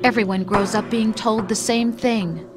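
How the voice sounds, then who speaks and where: An actress playing a calm, coldly persuasive female machine intelligence in a video game, speaking softly with a mocking edge. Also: mid-range voice, unhurried, lightly acted. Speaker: game character. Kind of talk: video game cutscene voice acting. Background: dramatic music score.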